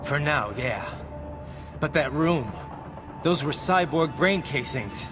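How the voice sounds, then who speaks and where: A man's voice: on the high side, expressive, takes it slowly, over music. A man voicing an earnest, dry cyborg mercenary in an English-language video game. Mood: troubled. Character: young adult male cyborg mercenary, earnest, dry and indignant. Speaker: field operative.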